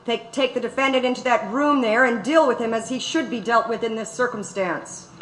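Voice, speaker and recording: Stern, curt courtroom judge woman performer, high sharp voice, echoey stage. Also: fairly quick, a little hiss or hum, close to an everyday voice. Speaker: reader in staged documentary. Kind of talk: dramatic reading of court transcript. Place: large auditorium.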